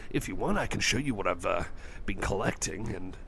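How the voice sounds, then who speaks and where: A man playing a shy, kind, anxious cyborg friend in an ASMR roleplay, speaking softly and breathily with hesitant, reassuring stammers, close to the microphone. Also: fairly low pitch, expressive, brisk, a little hiss or hum. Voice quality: soft, breathy, often whispering. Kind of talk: ASMR roleplay, personal attention.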